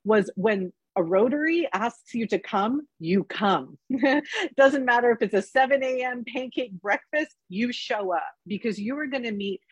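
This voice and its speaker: A woman's voice: a little high, animated, measured pace, husky, dry recording. A woman who edits a news site, speaking as the guest at a club meeting in a friendly, personable way.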